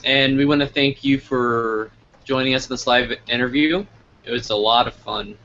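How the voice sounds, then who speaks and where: A man's voice: mid-range voice, fairly even intonation, normal speed, gravelly, pushed and bright, dull audio, a little hiss or hum. A man hosting a casual live interview, talking informally as he puts questions and addresses the audience. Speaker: interview host.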